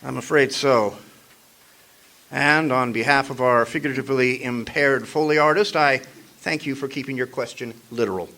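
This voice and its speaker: An actor playing a sardonic, hardboiled private eye narrating a radio detective parody. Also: male voice, medium pitch, steady tone, moderate pace, pushed and bright. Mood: wry.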